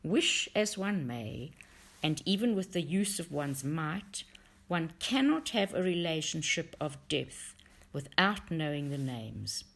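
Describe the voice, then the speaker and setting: A woman reading a book aloud in an audiobook style, with measured, deliberate phrasing. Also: sits low, big pitch swings, gravelly, a bit bright. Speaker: reader for a book study group.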